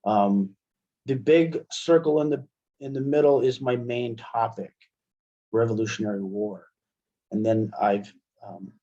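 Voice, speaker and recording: A middle-aged American man presenting an online software lesson step by step, in an informal, halting way, often checking in with "right?". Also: medium pitch. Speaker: webinar presenter and instructor. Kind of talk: software tutorial, explaining step by step. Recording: muffled, dull computer-call audio.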